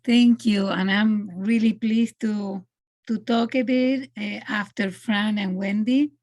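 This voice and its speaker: Slow, soft-spoken Argentinian woman. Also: medium pitch, fairly even intonation. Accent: Argentinian Spanish. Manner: halting, searching for words. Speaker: presenting artist. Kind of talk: online artist presentation. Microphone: video call.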